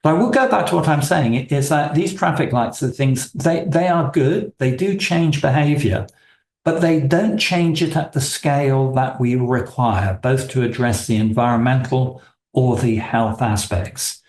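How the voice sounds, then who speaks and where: A man, a guest speaker answering audience questions in a measured, hesitant way over a video call. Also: mid-range voice, a little mellow. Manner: measured, hesitant, many fillers. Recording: echoey room.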